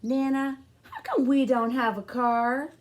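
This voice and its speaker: A woman's voice: high-pitched, a little slow, pitched above the performer's own voice. A woman voicing a curious, complaining young boy in a children's storybook read-aloud. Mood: plaintive, curious.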